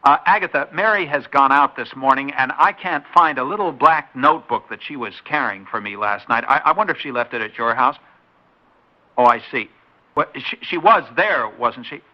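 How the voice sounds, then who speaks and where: An actor playing a suspicious, hot-tempered suburban husband in an old radio drama, talking in a blustering, short-tempered way. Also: male voice, mid-range voice, expressive, moderate pace, natural voice. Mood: determined. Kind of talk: 1950s radio drama acting. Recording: dull old radio recording.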